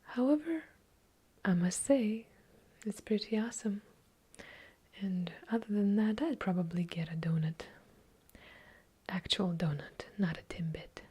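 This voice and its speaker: A woman with an Eastern European accent whispering softly through a casual ASMR question-and-answer chat, correcting herself now and then. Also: medium pitch, breathy edge. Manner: soft unvoiced whispering, unhurried, chatty with small self-corrections.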